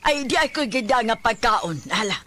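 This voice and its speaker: A woman's voice: on the high side, lively intonation, moderate pace, sharp tone. A Filipino woman with a Visayan accent playing a kindly, pitying old grandmother in a radio drama.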